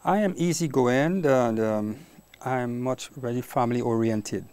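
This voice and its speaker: A middle-aged man with a Guyanese Caribbean accent answers as an interview guest. His voice sits at a middle pitch with plenty of gravelly creak, and he speaks reflectively. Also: a little slow, a little mellow. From Guyana, later Trinidad and Tobago. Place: small indoor TV studio. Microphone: lapel mic.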